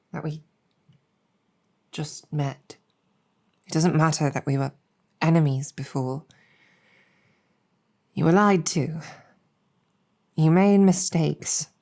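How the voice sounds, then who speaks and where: Slow, low-voiced demoness general, played by a woman. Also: darkish tone. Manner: deliberate, halting, earnest, with long pauses. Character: earnest, protective female succubus demon general. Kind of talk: intimate improvised roleplay storytelling, ASMR-adjacent.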